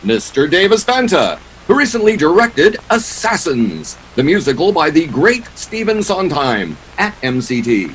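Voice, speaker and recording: A man's voice: fairly high pitch, very animated, measured pace, fairly bright tone, slight background noise. A man announcing a radio play in scripted 1940s style, with a grand, showy manner.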